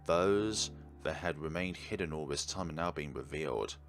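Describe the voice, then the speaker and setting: A man with a deep, creaky voice narrates a visual novel playthrough. He reads dramatically and earnestly, with deliberate pauses, while music plays clearly behind him. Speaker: video game playthrough host. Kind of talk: dramatic read-aloud of game text with his own commentary.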